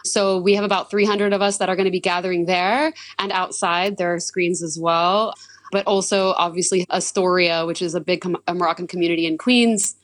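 Hoarse young woman, lowish voice, dry close sound, chatty fan, lots of "like". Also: steady tone, fairly quick, no echo. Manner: casual, upbeat, says "like" often. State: hoarse from screaming. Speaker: football fan guest. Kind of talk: live radio interview.

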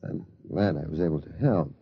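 A man's voice: a bass voice, brisk, creaky, slightly rough, no echo, full character voice. An actor playing a worried middle-aged lawyer and father in a 1940s radio drama, stammering and flustered. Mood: awkward. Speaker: father of the boy. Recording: lo-fi old radio recording.

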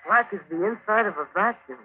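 A man's voice: high voice, fairly quick, natural voice. A man acting a nervous, wide-eyed boy sidekick in an old science fiction radio drama, anxious and exclamatory. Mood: frightened. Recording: tinny band-limited old radio, faint noise.

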